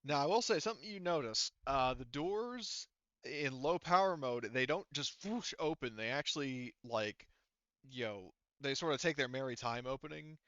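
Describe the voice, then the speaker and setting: Male game master, casual and rambling, bright clean voice, recorded close and dry. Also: medium pitch, animated, normal speed, smooth, dry recording, dull audio. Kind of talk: casual narration of an online tabletop game.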